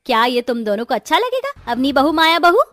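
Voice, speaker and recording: Woman playing high-voiced authoritative older mother-in-law. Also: sing-song, brisk, fairly bright tone, faint music behind. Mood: instructive. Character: older mother-in-law, food-loving, authoritative but fair.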